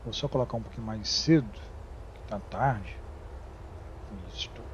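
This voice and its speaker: A Brazilian man with a Brazilian Portuguese accent, chatting casually over gameplay, halting, with many fillers and restarts. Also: fairly low pitch, lo-fi sound, slight background noise. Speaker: gaming YouTuber. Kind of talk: casual game commentary.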